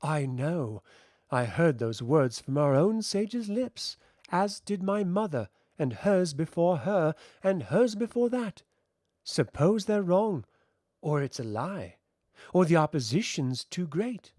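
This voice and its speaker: A man's voice: a little high, sing-song, slow pace, a little mellow, no room sound, studio quality. A man voicing a haughty, very tall fairy queen, imperious, cold and mocking, in a fantasy audiobook. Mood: uneasy.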